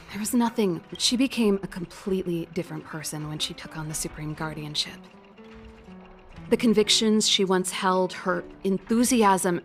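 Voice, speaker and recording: Game role: actress as exiled female researcher, wry and confident, expressive dialogue, mid-range voice, music underneath. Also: mid-range voice, close to an everyday voice. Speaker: researcher character in a video game. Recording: game music playing behind the voice.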